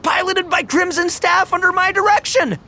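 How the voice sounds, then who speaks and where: A man's voice: very high-pitched, moderate pace, background noise. An actor playing a boisterous, theatrical young man in a video game, earnest and bombastic. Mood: fired-up resolve. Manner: bombastic, dramatic, swinging from solemn to shouting. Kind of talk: animated video game acting.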